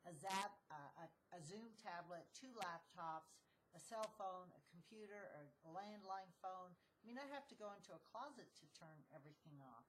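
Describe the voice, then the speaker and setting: A woman's voice: lowish voice, animated, normal speed, noisy background. A woman hosting an interview, enthusiastic and affirming toward her guest. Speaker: interview host.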